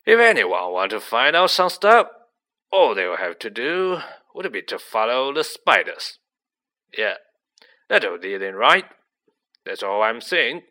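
Storybook giant , played by a man: rough rustic groundskeeper, loyal and quick to roar, hard-edged, swinging delivery. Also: mid-range voice, sing-song, bright, forceful tone, full character voice. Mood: stubborn, loud. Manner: roaring, growling, rustic dialect. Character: gruff hot-tempered giant shaggy man, loyal groundskeeper.

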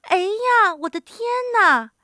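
A tiny, excitable old lady from a storybook, acted by a woman. The voice is very high and shrill, swooping dramatically as it exclaims. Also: measured pace, no echo, studio quality, exaggerated voice. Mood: startled. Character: small, skinny old woman, excitable yet sharp.

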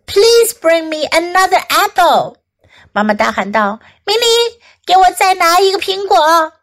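A woman's voice: fairly high pitch, very animated, slow pace, no echo, full character voice. A woman voicing an affectionate, bossy storybook mother with a motherly, emphatic way of talking. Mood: calling out, scolding.

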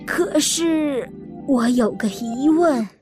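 A woman's voice: high-pitched, slow, music in the background. An actress playing a polite, guarded elderly lady in an audio drama, asking careful, hesitant questions. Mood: inquisitive.

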